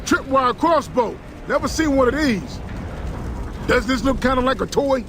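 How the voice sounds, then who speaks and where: Excitable, folksy soldier, game combat: extremely high pitch for a man, clear noise behind. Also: moderate pace. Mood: excited. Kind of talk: video game combat acting.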